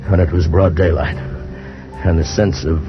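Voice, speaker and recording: A haunted adventurer in an old radio play, with a low, tense voice. He tells his ordeal in hushed dread or urgent pleas, over prominent music on a dull, muffled recording. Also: steady tone, unhurried. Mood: haunted, tense narration. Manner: tense, hushed narration breaking into desperate cries. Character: Johnny, haunted male adventurer narrating a desert ordeal. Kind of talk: 1950s old time radio drama acting, first-person narration and dialogue.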